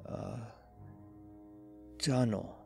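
A man voicing a dreary, despairing dead man who speaks slowly and haltingly in a horror audio story. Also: mellow, soft background music. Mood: dreary, hopeless. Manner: slow, halting, hopeless delivery. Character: dead man entranced, dreary, despairing, hearing terror approach.

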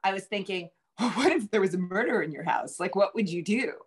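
A middle-aged woman answering as a guest author, conversational and explanatory, often halting to rephrase herself. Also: mid-range voice, lively intonation, slightly rough. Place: home room with bookshelves, indoors. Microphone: video call.

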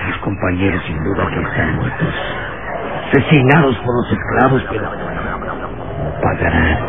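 An actor playing a brutal, vengeful fleeing foreman in a melodramatic, gasping radio drama style. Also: fairly low pitch. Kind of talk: Mexican radionovela acting. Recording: tinny, very noisy old radio recording.

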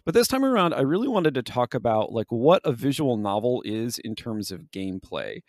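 Guy cohosting a game podcast, explainy and casual, hedges a lot, normal pitch, dry sound no echo. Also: very animated, moderate pace. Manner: explanatory, hedging with "like" and "sort of". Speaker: podcast co-host.

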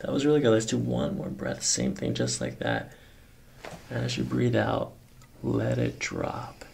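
A man's voice: medium pitch, sing-song, measured pace, gravelly, darkish tone, slight background noise. A man in his thirties working as a chiropractor, talking a patient through a hands-on exam with instructions and short check-in questions. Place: small carpeted clinic treatment room. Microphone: microphone on a boom arm near the table.

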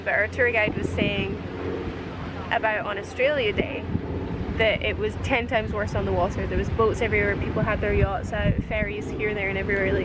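A young woman chatting casually to a handheld camera outdoors by a harbour. She rambles along, filling her sentences with "like". Loud wind roars over the recording. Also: mid-range voice. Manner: chatty, rambling, heavy use of "like". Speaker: travel vlogger. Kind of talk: casual travel vlog chat. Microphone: camera held at arm's length.

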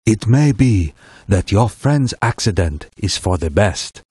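A man playing a solemn, wise old village lama who speaks gravely and cryptically in a children's game. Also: fairly low pitch, animated, unhurried, dark tone, no room sound, studio quality. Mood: solemn counsel. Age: older. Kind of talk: children's educational game acting.

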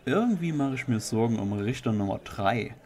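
A man's voice: medium pitch, lively intonation, moderate pace, darkish tone, some chatter behind, close to an everyday voice. A man playing fussy, pompous chili judges who appraise each dish in a comic story. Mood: critical. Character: fussy, appreciative male chili connoisseur judges.

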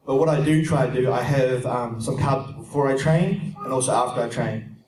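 A young man with a New Zealand accent answers audience questions in a steady, plain explaining manner, often pausing on "um", speaking into a handheld mic in a large conference room. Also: mid-range voice, recorded from a distance, a little hiss or hum. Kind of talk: casual Q&A seminar talk. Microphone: handheld mic, heard from a distant camera. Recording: echoey room heard from afar.

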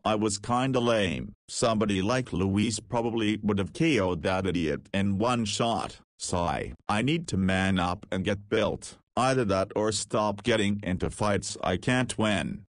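A man's voice: lowish voice, unhurried, hardly any pauses, no room sound, studio quality, close to an everyday voice. A man playing a cheeky, self-deprecating young patient in a visual novel, slangy, casual and wry. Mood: self-critical.

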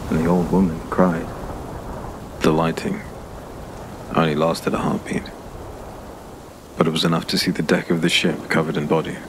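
Deep-voiced male dark fantasy narrator. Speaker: audiobook narrator. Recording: clear background ambience noise.

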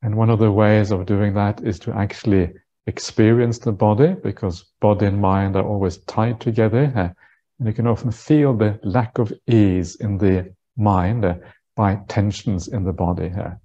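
A man in his fifties leads a guided meditation with a lowish, very mellow voice. He speaks gently and takes his time, pausing often and filling gaps with small uhs. The sound is a little muffled and lo-fi. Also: steady tone. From Norway. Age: 50s. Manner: gentle, unhurried, halting with frequent pauses and uhs. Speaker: Buddhist monk, meditation teacher.

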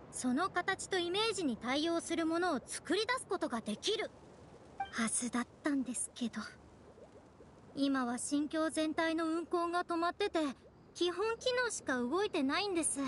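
Very high-pitched, self-effacing young guide. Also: female voice, lively intonation, normal speed, slight background noise. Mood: earnest explaining. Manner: polite, chatty explaining, self-effacing. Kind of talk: Japanese anime game voice acting.

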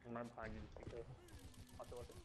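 A young man speaks over a noisy, distant-sounding game voice chat. His voice sits at a middle pitch, and he gives terse, casual callouts to a teammate with plenty of swearing. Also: very animated, rapid-fire, gravelly.

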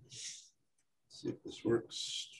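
An older American man with a head cold, talking in a halting, casual way on a video call from his home study, full of restarts and wry asides. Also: slight background noise. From United States. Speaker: guest speaker. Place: home office or study, indoors. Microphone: video call webcam.

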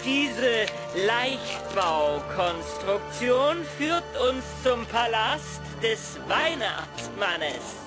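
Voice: medium pitch, very animated, very slow, few pauses. An actor playing a pompous, imperious overseer in a German audio drama. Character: haughty, commanding overseer of Santa's school. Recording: loud music behind.

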